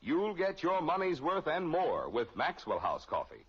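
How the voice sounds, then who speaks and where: A man's voice: on the high side, wildly swooping pitch, measured pace, a bit bright, slightly rough. An American man reading a 1950s radio advertisement as an announcer, with persuasive, polished salesmanship. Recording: muffled old radio recording.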